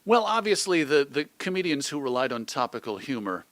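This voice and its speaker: Middle-aged American male interviewee, clear bright edge to the voice, thoughtful and articulate, dry close sound. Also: medium pitch, sing-song, a little fast, dry recording. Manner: reflective, articulate. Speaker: interview guest, radio host and editor.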